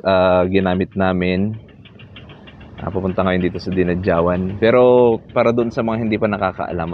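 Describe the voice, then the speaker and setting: A Filipino man talking casually as a motorcycle vlogger and reviewer. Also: low voice. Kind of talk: casual vlog talk. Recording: muffled mic, loud road and vehicle noise.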